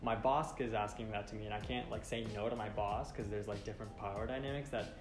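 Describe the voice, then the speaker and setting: A man's voice: lowish voice, steady tone, quick pace. A young man from Boston, speaking frankly and chattily about his own experience. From Boston, United States. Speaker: student panelist. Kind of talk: casual personal testimony. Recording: roomy room with background music.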